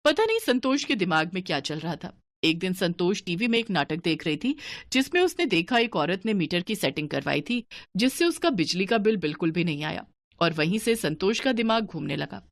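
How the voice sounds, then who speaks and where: A woman giving steady, scripted narration for a cartoon moral story. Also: lowish voice, normal speed, no room sound.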